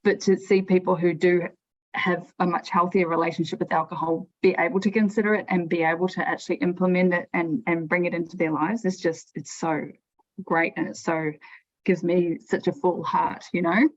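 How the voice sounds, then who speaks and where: New zealand woman chatting on a podcast, lowish voice, kinda dull lo-fi audio, lots of "like". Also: fairly even intonation, moderate pace. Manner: chatty, frank, frequent restarts and "like". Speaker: guest. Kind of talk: podcast interview storytelling. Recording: lo-fi remote audio.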